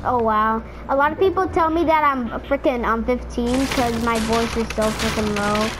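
Woman, higher pitch, casual rambling gamer, filler-heavy chatter, noisy game audio. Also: moderate pace. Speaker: streamer playing in a squad. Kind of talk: casual gaming chat.

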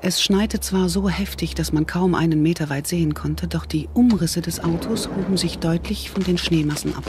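A man's voice: a little high, normal speed, few pauses, background noise. A man narrating a scripted German thriller audio drama. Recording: music behind the narration.

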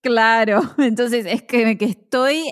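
A woman's voice: on the high side, takes it slowly. A woman with an Argentine accent, a podcast guest who explains things with examples and laughs often. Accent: Argentine Spanish. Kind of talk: casual conversation.

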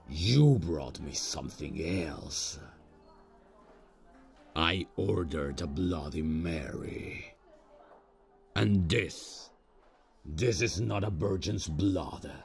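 An extremely deep-voiced male demon, acted by a man, in a fantasy audio roleplay. He talks very slowly and stiffly, deadpan and awkward yet menacing, with a gravelly creak in the voice. Music plays faintly behind him. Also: full character voice. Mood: indignant, menacing. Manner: slow, stilted, halting, deadpan.

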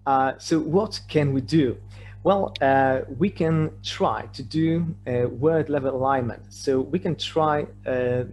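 A man with a Polish accent gives an online slide lecture at a middling pitch. He speaks hesitantly, often repeating words as he builds his sentences. A faint noise and hum run under the voice. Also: slow pace, a little mellow. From Poland. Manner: hesitant, repeats words and stammers over phrase starts. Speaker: conference presenter. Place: remote online presentation with shared screen. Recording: clearly noisy online talk with hum.

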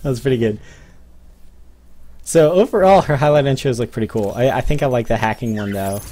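A man's voice: medium pitch, a little fast, dark tone. A young man hosting a gaming video with casual, off-the-cuff commentary.

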